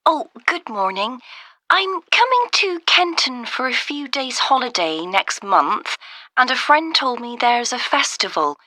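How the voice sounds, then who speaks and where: Bright, keen caller. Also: female voice, high voice, big pitch swings, a little slow, no room sound, full character voice. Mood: keen, friendly. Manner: polite, enthusiastic. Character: keen, friendly woman caller planning a holiday. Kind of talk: scripted listening-test phone dialogue.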